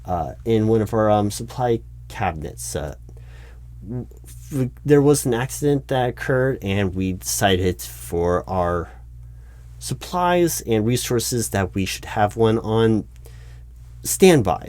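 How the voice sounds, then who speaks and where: A man's voice: fairly low pitch, animated, unhurried, darkish tone, dry recording. A man playing a flustered, officious recruiting manager in a one-sided job interview roleplay, stammering and repeating himself. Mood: awkwardly accommodating. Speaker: roleplay performer.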